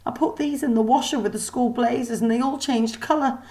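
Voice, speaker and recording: A woman's voice: on the high side, fairly quick, warm tone, pitched above the performer's own voice, close to an everyday voice. A woman reading a storybook as a tearful robot maid, hesitant and deferential, with colloquial servant speech. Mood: fretful, fearful. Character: timid, tearful female mechanical maid, servant.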